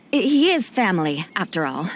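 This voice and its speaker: A woman's voice: medium pitch, a little mellow, slight background noise, close to an everyday voice. A woman playing a proud, dutiful noblewoman knight in a video game, formal and composed, with a touch of haughtiness. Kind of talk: animated game cutscene acting.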